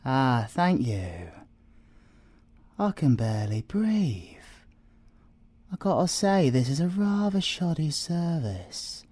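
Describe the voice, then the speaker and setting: A man's voice: a little high, big pitch swings, takes it slowly, long pauses, a little mellow, dry recording, exaggerated voice. A British man voicing an arrogant, flirtatious male siren thief in an ASMR audio roleplay, teasing and theatrical, sometimes dropping to a whisper. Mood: haughty, complaining.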